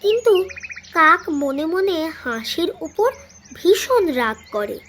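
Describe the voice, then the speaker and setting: A woman's voice: extremely high pitch, very animated, slow, fairly bright tone, slight background noise. A woman narrating a children's cartoon story in a scripted storytelling style.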